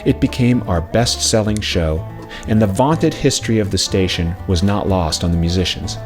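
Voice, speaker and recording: A man reading a scripted personal memoir in a measured, reflective storytelling way. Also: low-pitched. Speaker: narrator of own memoir, former radio station worker. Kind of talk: scripted narration. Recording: music playing behind the voice. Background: plucked acoustic string music.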